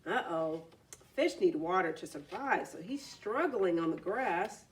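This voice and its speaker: A woman's voice: on the low side, lively intonation, unhurried, recorded from a distance. A woman reading a children's story aloud expressively, adding simple explanatory asides.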